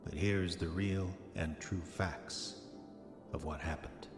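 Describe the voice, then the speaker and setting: Deep-voiced haunted prospector in cavernous reverb. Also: male voice, fairly even intonation. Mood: solemn, recounting. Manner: formal old-fashioned diction, brooding, measured. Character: haunted, hot-tempered prospector confessing murder in his diary. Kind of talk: horror audio drama monologue. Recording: echoey voice with long reverb, music behind. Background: music.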